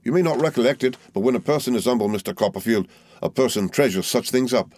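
A man's voice: mid-range voice, quick pace, a little mellow, voice pushed up, natural voice. A man reading aloud and voicing a fawning, falsely humble young male clerk with a wheedling, obsequious manner.

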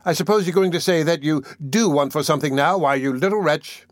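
A man voicing a two-faced woman who keeps pauper children in a storybook reading, both obsequious and sharp-tongued. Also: on the high side, a little fast, no room sound, studio quality, voice pushed up, close to an everyday voice. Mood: scolding. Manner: obsequious to superiors, scolding to children. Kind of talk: audiobook character acting.

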